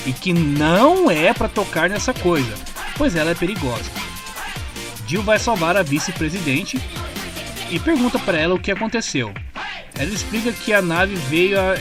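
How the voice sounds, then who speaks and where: High-pitched Brazilian man narrating over music. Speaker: comic recap narrator. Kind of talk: scripted narration retelling a comic story. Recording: music bed behind the voice. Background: clear background music.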